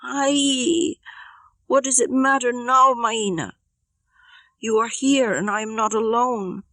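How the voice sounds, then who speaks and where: A woman's voice: fairly high pitch, slow, pushed and bright, dry recording, studio quality, pitched above the performer's own voice. A woman voicing a brave, tender young girl in an audiobook, earnest and formally phrased. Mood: weary, grateful. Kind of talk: audiobook character reading.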